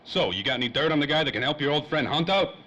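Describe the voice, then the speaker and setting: A man's voice: a little high, expressive, fast talker, sharp tone. An actor playing a world-weary, wisecracking private eye in a 1930s radio play, full of slangy tough-guy talk. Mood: weary, pressing. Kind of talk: old-time radio drama acting. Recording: faintly noisy old-time radio recording.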